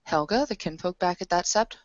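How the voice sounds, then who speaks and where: A woman's voice: lowish voice, brisk, dry recording. A woman playing in a tabletop roleplaying session, talking casually and a little hesitantly in character. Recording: dull lo-fi recording.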